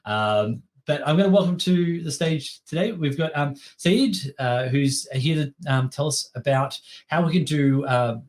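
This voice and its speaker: A man's voice: a little high, lively intonation, a little slow, few pauses. A man hosting an event, introducing a speaker informally in a hesitant, stop-and-start way.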